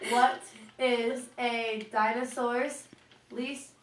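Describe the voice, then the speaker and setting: A young woman tells corny jokes in an unhurried, slightly high voice, giggling and teasing her friend. She is recorded from a distant camera in a roomy, echoing space. Faint noise runs underneath. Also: level tone. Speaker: puppeteer telling jokes. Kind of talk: casual joke swapping. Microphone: far camera.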